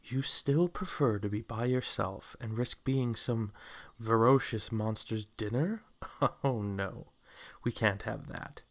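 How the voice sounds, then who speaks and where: A man's voice: on the low side, slow. A man acting a suave, possessive snake man in a comic dub, coaxing with flowery, condescending endearments. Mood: sly, possessive. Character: suave, possessive snake man courting his captive love.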